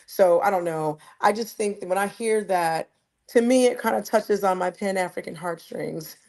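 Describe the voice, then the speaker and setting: A woman's voice: mid-range voice, moderate pace, a little mellow. American woman telling stories in casual talk. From United States. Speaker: host. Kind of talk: casual discussion.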